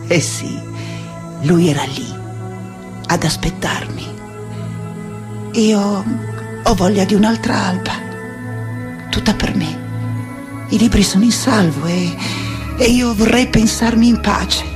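An actress playing an anguished, defiant, aging woman scholar in a radio play, speaking in halting, impassioned repetitions. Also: mid-range voice, natural voice. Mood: weary, wistful. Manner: halting, repeating words, impassioned. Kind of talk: dramatic radio theatre monologue. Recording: music under the voice. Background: music.

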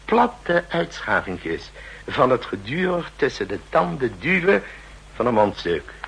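An actor playing a chatty, knowing older dentist who muses and lectures haltingly in a 1960s radio drama. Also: male voice, medium pitch, sing-song, fairly bright tone, exaggerated voice. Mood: knowing, triumphant. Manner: halting, musing, lecturing, repeats himself. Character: chatty, knowing old male dentist, musing and self-satisfied. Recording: husky-sounding old 1960s radio recording.

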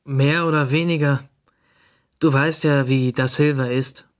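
A man's voice: mid-range voice, fairly even intonation, unhurried, natural voice. An actor playing a nonchalant, understated seventeen-year-old boy in a radio drama. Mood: offhand, dry.

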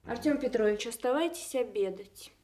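An actress playing a general's devoted, anxious wife in a Soviet radio play, with an expressive, emphatic manner. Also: female voice, a little high, moderate pace, lightly acted. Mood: grave, anxious.

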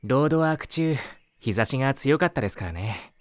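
A man playing a gentle, polite, concerned schoolteacher in an anime audio drama, speaking earnestly and reassuringly. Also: medium pitch, expressive, fairly quick, no room sound, close to an everyday voice.

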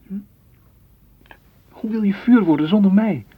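A man's voice: high-pitched, unhurried, slightly rough, no echo. An actor playing a possessive, wooing man in a radio drama, pressing and reproachful in manner. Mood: insistent. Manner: insistent, reproachful. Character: possessive, wooing suitor seeking warmth and closeness. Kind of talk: literary radio drama acting. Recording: dull, noisy radio play recording.

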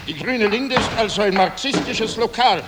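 An actor playing a pompous, sneering Austrian militia captain, barking orders and talking down in a radio play. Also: male voice, sits high, moderate pace, over loud music, natural voice. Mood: condescending. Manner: barking orders, condescending, sarcastic. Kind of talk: 1960s radio play acting. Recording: noisy, clicking old tape.

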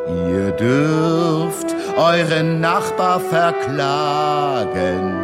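An indignant agitator, proclaims to the oppressed with extremely slow, drawn-out words. His pitch swoops widely in theatrical declamation. The voice rings in a long reverb as part of an experimental radio play. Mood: accusing. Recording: long hall reverb, loud music. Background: loud trombone and brass music.

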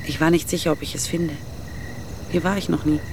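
An actress playing a reluctant, frank woman with a creaky voice and short patience, in a naturalistic radio drama. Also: deep voice, flat delivery, a little fast, darkish tone, slight background noise. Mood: polite, reserved.

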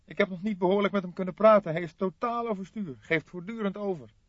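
A man's voice: high voice, fast talker, dry recording, highs cut off, voice pushed up. A man voicing a young male police detective, shaken and urgent, in an audiobook. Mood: troubled, reporting. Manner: breathless, urgent.

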